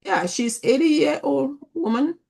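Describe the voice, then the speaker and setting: A woman's voice: high-pitched, takes it slowly, creaky. A woman from Africa, a chaplain in a peer review group, who talks through her thoughts in broken, restarting phrases. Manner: warm, restarting, thinks aloud in fragments. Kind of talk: group discussion.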